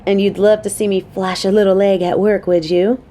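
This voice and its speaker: Woman acting a playful, motherly coworker with mellow voice. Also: mid-range voice, level tone, moderate pace, a little hiss or hum, lightly acted. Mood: flirty teasing.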